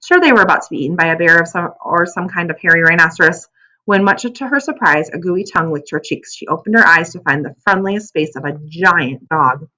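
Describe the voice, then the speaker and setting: A woman narrating a children's book aloud as an expressive storyteller. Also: fairly low pitch, a little fast, poor audio.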